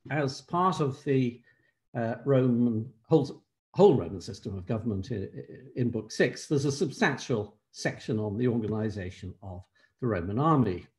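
An older man, an emeritus professor, giving a measured academic keynote over a video call from a room at home, pausing often on "uh". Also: mid-range voice, a little mellow. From Ireland. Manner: measured scholarly delivery with frequent "uh" pauses. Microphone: laptop webcam on a video call.

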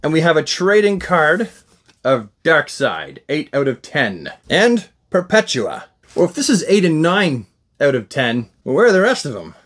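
Excitable man with a higher-pitched, lively voice. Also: unhurried. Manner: animated, excitable, exclaiming. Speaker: unboxing review host. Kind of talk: casual enthusiastic unboxing vlog. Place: small indoor room lined with shelves of collectibles.

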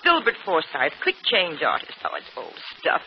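Fretful bossy middle-aged wife, old radio drama, dull sound w some hiss. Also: female voice, low voice, wildly swooping pitch, moderate pace. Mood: bitter, resigned. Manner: plain-spoken, scolding. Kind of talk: 1940s radio acting. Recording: muffled, noisy old radio broadcast.

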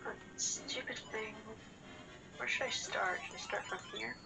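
A woman's voice: on the high side, measured pace, creaky. A woman talking casually with a friend while they play video games. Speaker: gamer, co-host of a let's play show. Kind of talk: casual chat while gaming. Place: indoors, room with a TV screen. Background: video game music.

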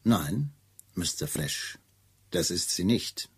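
An actor in a German radio play playing a shrewd Scotland Yard chief inspector, dry, ironic and probing. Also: male voice, deep voice, lively intonation, takes it slowly, husky, heavily acted. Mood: questioning.

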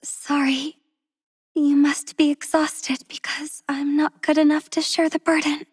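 Soft, tender game heroine, young and devoted, high-pitched, slow and breathy, dry studio sound. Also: female voice, little pitch movement, no room sound. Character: gentle devoted young woman healer, soft-spoken and tender. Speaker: voice actress for a game character. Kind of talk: game character voice lines.

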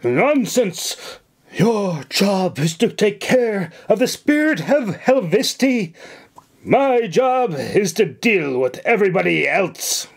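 A man's voice: sits high, sing-song, takes it slowly, vocal fry, pitched above the performer's own voice, exaggerated voice. A man voicing a pompous, indignant and fussily gallant governor in an audiobook, with theatrical, exclamatory delivery. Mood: resolute, gallant. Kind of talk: audiobook character voice.

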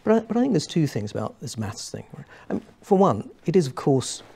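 A young man on a studio panel, explaining at length in a measured way with many restarts. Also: on the high side, big pitch swings, creaky, dark tone, husky. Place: small studio set with armchairs.

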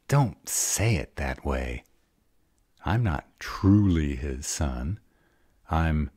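A sarcastic vampire, acted by a man, with an extremely low voice in a very dry recording. He is guarded and wry, unsure that anyone could love him. The delivery is unhurried, in an audio roleplay style. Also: slightly rough, dry recording, heavily acted. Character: sarcastic grown male vampire, guarded, insecure, starved of love.

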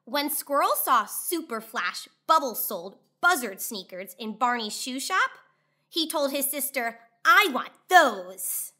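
High, bright woman reading a children's storybook. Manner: animated, clearly enunciated, deliberate delivery. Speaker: children's read-aloud host. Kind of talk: expressive storybook narration for children.

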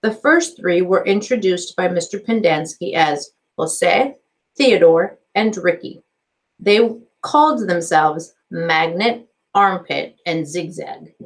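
A woman's voice: lowish voice, expressive, slow, poor audio. A middle-aged woman reading a storybook aloud as a teacher, carefully, in a small office. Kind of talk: storybook narration, read aloud. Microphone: computer microphone on a video call.

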